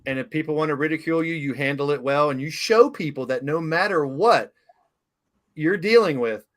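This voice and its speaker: A man's voice: fairly high pitch, fairly quick. A middle-aged man hosting a talk show interview, chatting casually in an enthusiastic, emotional, rambling way.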